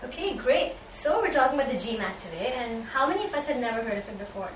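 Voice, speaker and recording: A young woman from Pakistan moderating a casual student panel discussion with quick, leading questions. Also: on the high side, big pitch swings. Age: young adult. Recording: roomy, distant mic with background noise.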